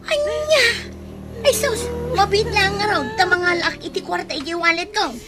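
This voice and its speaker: A woman's voice: very high-pitched, moderate pace, heavy background noise. An actress playing a generous, trusting woman in an Ilocano radio comedy drama, speaking with an Ilocano accent. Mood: concerned, hurried. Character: generous, trusting woman who lends money to friends.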